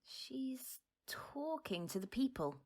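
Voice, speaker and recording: Patient, expressive woman. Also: high-pitched, breathy edge, full character voice. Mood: quietly amused. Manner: patient, unhurried explaining in short phrases. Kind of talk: naturalistic audio drama acting.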